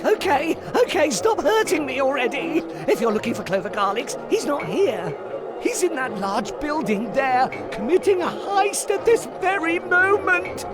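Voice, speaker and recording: A man's voice: very high-pitched, big pitch swings, normal speed, music in the background. A man voicing a cowed, whining small-time thief in a cartoon game. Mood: frightened, pleading. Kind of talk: animated cartoon game voice acting.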